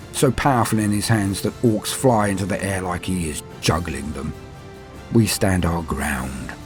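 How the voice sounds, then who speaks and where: A proud, battle-hardened space marine veteran, read by a man speaks in a very deep, low voice, measured and matter-of-fact. Faint music plays underneath. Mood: resolute. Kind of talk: first-person fiction reading.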